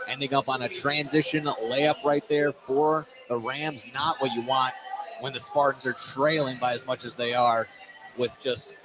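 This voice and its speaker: A man's voice: mid-range voice, steady tone, a little slow. A man giving color analysis on a live basketball broadcast in a conversational, slightly rambling way. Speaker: color commentator. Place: indoor basketball gym. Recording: noisy live gym broadcast.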